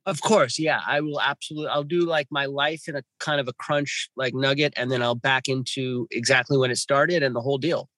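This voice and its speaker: A man's voice: medium pitch, fairly even intonation, fairly quick, hardly any pauses, pushed and bright, no room sound. Rambling man in his fifties, dry. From Los Angeles, California. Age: 50s. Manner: rambling, restarts, many fillers. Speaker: guest. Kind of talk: podcast interview storytelling. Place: home with birds nearby.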